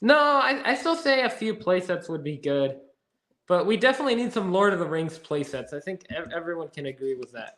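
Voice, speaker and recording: A man's voice: high-pitched, very animated, a little fast, a bit bright, roomy sound. A man hosting a casual podcast, chatting and reading out viewer comments. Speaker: podcast host reading live chat. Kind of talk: casual chat.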